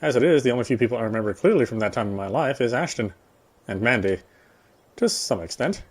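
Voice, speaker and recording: A man's voice: medium pitch, animated, quick pace, slightly rough. A man reading visual novel narration aloud during a playthrough, sometimes stumbling and rereading a phrase. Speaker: gamer reading story text in a playthrough.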